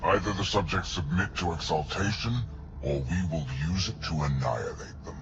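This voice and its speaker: A man's voice: low-pitched, normal speed, dull audio, noisy background. An actor playing a menacing, grandiose male alien overlord in a video game cutscene. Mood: cold menace.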